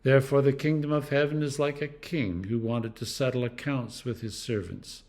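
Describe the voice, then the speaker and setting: A clergyman reading scripture aloud in a deliberate, expressive way, with dramatic emphasis and pauses. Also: mid-range voice. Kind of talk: scripture reading, devotional narration.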